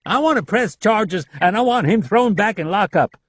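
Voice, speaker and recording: A man voicing a rigid, indignant young male air force captain with a shrill, protesting voice. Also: lively intonation, a little fast, no echo.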